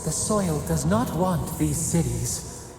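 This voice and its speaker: An actress playing an eerie, solemn prophetess in a fantasy game, speaking in an ominous incantation. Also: female voice, deep voice, animated, takes it slowly, vocal fry, exaggerated voice. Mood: ominous, hushed reverence. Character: eerie, solemn prophetic woman chanting about soil and silence. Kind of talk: video game dialogue acting. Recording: echoey, long reverb, music behind.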